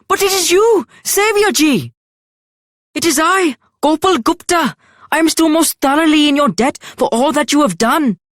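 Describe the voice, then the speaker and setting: Casting: eager young spice merchant man for a game, Indian accent, high-pitched, humbly polite. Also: fairly bright tone, dry recording, studio quality. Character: earnest, devoted young male spice merchant. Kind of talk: video game character acting.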